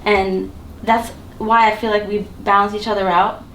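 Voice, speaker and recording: A woman's voice: mid-range voice, little pitch movement, normal speed, fairly bright tone, slight background noise. A woman chatting casually in an interview, with lots of likes and ums. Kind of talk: personal interview. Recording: roomy sound from a distance.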